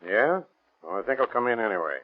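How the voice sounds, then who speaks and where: Actor as a low-voiced gruff 1930s deputy. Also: male voice, expressive, fast, noisy background, lightly acted. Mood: unruffled. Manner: terse, casual toughness. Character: unflappable, gruff male deputy sheriff. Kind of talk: 1930s radio crime drama acting. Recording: tinny, band-limited 1930s radio recording.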